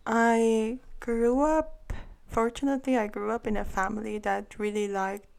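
A woman's voice: fairly high pitch, fairly even intonation, measured pace, gravelly, darkish tone, smooth. A woman in her thirties from Iran, answering interview questions with careful, thoughtful phrasing. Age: 30s. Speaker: guest artist.

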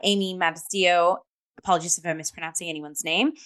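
A woman with a slightly low voice hosts a casual theatre review conversation. She speaks effusively, leaning on emphatic phrasing as she gives her opinions. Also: steady tone, a little fast, fairly bright tone. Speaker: theatre review podcast host.

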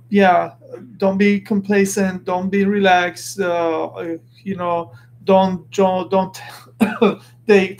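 High-voiced man, earnest and halting. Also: slight background noise. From Lebanon. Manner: earnest, searching for words, restarts often. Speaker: podcast host. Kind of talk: casual motivational monologue.

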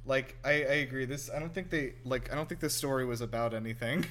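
A man's voice: medium pitch, quick pace, fairly bright tone, slight background noise. A man hosting a casual film reaction chat with wry, teasing banter. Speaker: podcast host.

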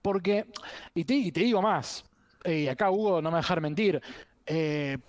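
A man's voice: fairly high pitch, expressive, moderate pace, darkish tone, no room sound. A man giving casual critical commentary as a podcast panelist, opinionated and prone to digressions.

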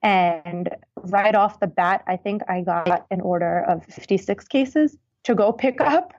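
A woman's voice: medium pitch, a little slow, dry recording. Chatty woman guest on a muffled line. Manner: chatty, relaxed, frequent ums. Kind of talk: casual interview chat.

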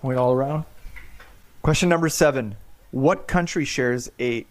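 A man's voice: medium pitch, unhurried. A man hosting a trivia game on a podcast, joking and often repeating his words as he reads questions. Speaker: quiz host.

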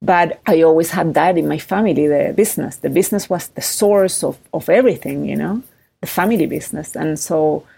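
A woman with a Chilean accent speaks in a low, gravelly voice. She is a founder talking in an interview, lively and full of fillers and rising tags. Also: fairly quick. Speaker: startup founder. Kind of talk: interview conversation.

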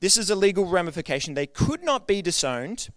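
A man's voice: high voice, very animated, brisk, fairly bright tone, no room sound. A man preaching a sermon to his church in an earnest, conversational way, often asking the congregation questions. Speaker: pastor.

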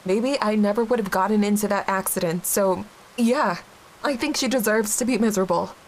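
An actress playing a young widowed mother, halting and raw with grief, in a dramatic dialogue. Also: medium pitch, a little hiss or hum, close to an everyday voice, rough recording. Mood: bitter, grieving. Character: grieving young widowed mother, guilt-ridden.

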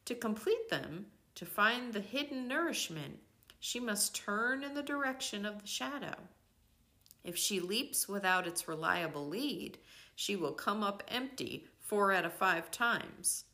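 Older woman reading aloud, slowly and expressively. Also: medium pitch, expressive, bright, forceful tone. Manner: measured, deliberate phrasing with pauses. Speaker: reader of a nature devotional book. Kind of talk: expressive, literary read-aloud narration.

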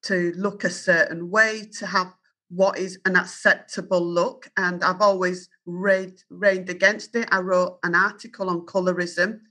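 A woman's voice: lowish voice, flat delivery, measured pace, sharp tone. A woman in her fifties with a Yorkshire accent, a journalist answering interview questions in an earnest, firm and self-assured way. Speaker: journalist and guest.

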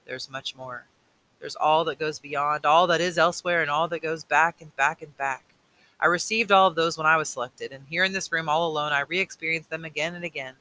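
Guy doing a tired old mentor man, slow and earnest, kinda high and really bright. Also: steady tone, low-quality recording. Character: weary old male mentor, patient and burdened. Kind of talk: audiobook reading.

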